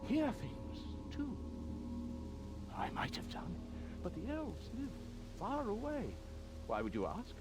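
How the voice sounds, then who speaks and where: An actor voicing an odd, furtive, nervous hermit in a video game, muttering hesitantly and evasively. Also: male voice, high voice, huge pitch swings, takes it slowly, long pauses, exaggerated voice. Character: odd, furtive, nervous male hermit who hoards bones. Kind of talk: video game character dialogue. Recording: game dialogue over prominent music.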